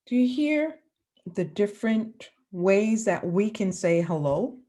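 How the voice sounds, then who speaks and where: A woman teacher from the Bahamas giving a lesson to children, asking the class questions and pausing for answers. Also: mid-range voice, expressive, mellow.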